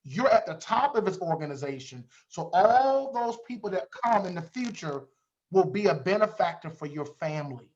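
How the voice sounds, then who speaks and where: High-voiced man hyping a group call. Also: expressive, moderate pace. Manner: repetitive, rallying, pushing guests to unmute. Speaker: host of a recruitment call. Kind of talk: hype-driven group call hosting. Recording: dull lo-fi call audio.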